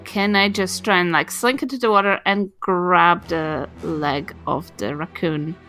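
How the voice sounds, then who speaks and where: A woman's voice: on the low side, unhurried, pushed and bright. A woman playing a tabletop game, chatting casually as she improvises her moves. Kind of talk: casual chat, improvised play. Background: faint music.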